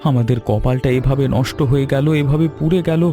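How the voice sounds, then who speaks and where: A man's voice: medium pitch, brisk, dark tone, soft background music, close to an everyday voice. A man playing an anxious, humble village weaver in a cartoon story, with a plaintive, earnest delivery. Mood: wailing despair.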